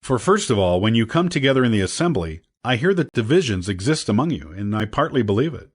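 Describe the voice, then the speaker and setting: A man reading scripture as an audio Bible narrator, in a measured, declarative scripted style. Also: medium pitch, very animated, slightly rough. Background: background music.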